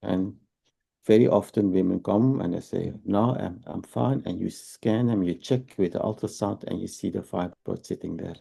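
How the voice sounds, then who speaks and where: Belgian guy, 50s, doctor explaining stuff slowly, kinda gravelly dark voice. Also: low voice. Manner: unhurried, explains step by step with tag questions. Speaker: fertility doctor. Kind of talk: explanatory interview talk.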